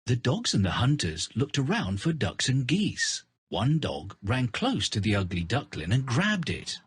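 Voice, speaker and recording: A male storybook narrator for children with a very husky voice. His pitch moves in big animated swings, and the recording is dry and close. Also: a little slow, dry recording.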